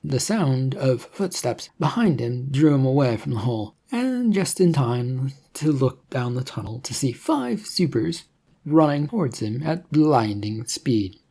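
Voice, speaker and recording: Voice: medium pitch, sing-song, unhurried, dark tone, slightly rough. A narrator reading a scripted adventure story in the manner of an audiobook.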